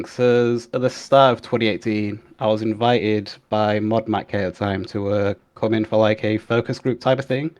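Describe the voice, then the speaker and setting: A young man with a lowish voice, answering interview questions in a relaxed, easygoing way. He talks loosely, with filler words between thoughts. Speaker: guest, game QA analyst. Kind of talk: casual interview chat.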